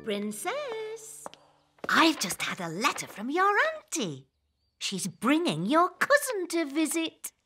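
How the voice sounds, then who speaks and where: A woman playing a doting, gently firm queen and mother in a cartoon, with a motherly, expressive manner. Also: sits high, slow pace, soft background music, heavily acted. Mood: gentle.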